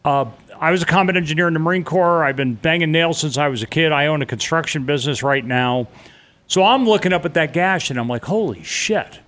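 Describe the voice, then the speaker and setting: A man's voice: a little high, a little fast, a bit bright. A middle-aged man telling a personal story in an interview, blunt and vivid, with casual swearing. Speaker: interview guest.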